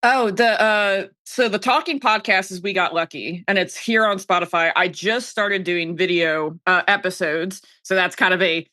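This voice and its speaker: Bright-voiced woman chatting casually. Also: medium pitch, fairly even intonation, moderate pace, few pauses, no room sound, studio quality. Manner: informal, self-quoting storytelling. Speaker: podcast guest, podcaster and filmmaker. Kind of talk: casual chat, personal anecdotes.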